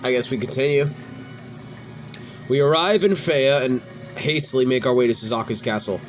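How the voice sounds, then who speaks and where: Male let's play host, mid-pitched, reading story scenes aloud and chatting casually, music behind him. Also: moderate pace. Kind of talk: reading game dialogue aloud with casual commentary.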